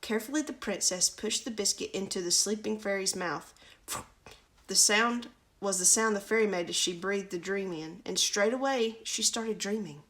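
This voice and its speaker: A woman's voice: mid-range voice, normal speed, fairly bright tone. Woman reading a children's story aloud. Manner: chatty, informal asides. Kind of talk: children's picture-book read-aloud with casual asides.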